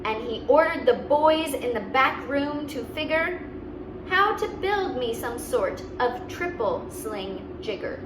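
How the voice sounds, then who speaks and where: A woman's voice: high voice, expressive, slow pace. A woman reading a children's story aloud expressively, with simple explanatory asides for young listeners. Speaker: story reader and host. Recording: roomy, distant mic, clear background noise.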